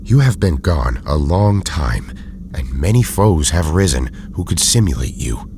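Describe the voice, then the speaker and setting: A man acting a learned, cautious superhero in an audio drama, speaking formally and gravely with careful articulation. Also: a bass voice, big pitch swings, unhurried, noisy background, exaggerated voice. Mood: suspicious, sorrowful. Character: learned, cautious, formal male mutant hero.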